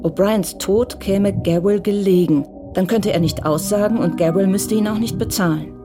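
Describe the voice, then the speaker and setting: A woman's voice: medium pitch, normal speed, natural voice. A woman giving scripted literary narration in a radio crime drama. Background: music.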